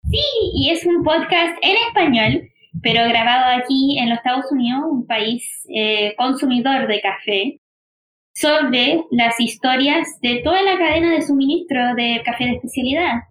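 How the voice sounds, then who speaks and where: A woman with a Chilean accent, co-hosting a podcast and speaking in a thoughtful, earnest way, often pausing to find her words. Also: high-pitched, a bit bright. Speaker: podcast co-host. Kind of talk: casual podcast talk. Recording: roomy echoing room.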